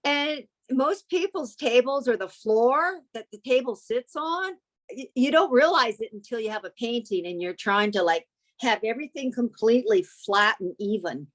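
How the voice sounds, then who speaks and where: A woman's voice: a little high, lively intonation, normal speed, fairly bright tone. A woman co-host chatting casually, guiding her friend step by step with lots of likes and ums. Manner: friendly, gives step-by-step advice with many fillers. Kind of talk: casual chat.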